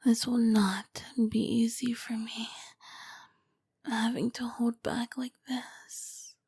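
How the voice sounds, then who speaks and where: A woman's voice: a little high, flat delivery, a little mellow, no room sound, studio quality, full character voice. Woman as a whispering, seductive vampire queen. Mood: hungry, straining. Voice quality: breathy, whispered. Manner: slow, poised, seductive. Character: charming, commanding, flirty royal vampire queen. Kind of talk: intimate ASMR roleplay.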